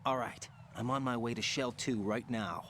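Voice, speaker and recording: A man's voice: mid-range voice, steady tone, normal speed, a bit bright, slight background noise, close to an everyday voice. An actor playing an earnest, inquisitive young male operative in a video game cutscene.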